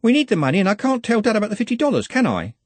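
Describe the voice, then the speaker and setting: A woman's voice: medium pitch, big pitch swings, rapid-fire, darkish tone, husky, no echo, pitched above the performer's own voice. A man voicing a chatty, eager young working-class woman who rambles in long run-on sentences. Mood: earnest rambling.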